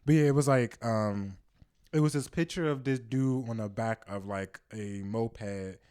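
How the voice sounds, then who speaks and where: A man's voice: medium pitch, a little slow, a little mellow, smooth. A young man, a podcast guest whose first language is not English, chatting casually in a loose, slangy, profane way with lots of fillers. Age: young adult. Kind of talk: casual chat.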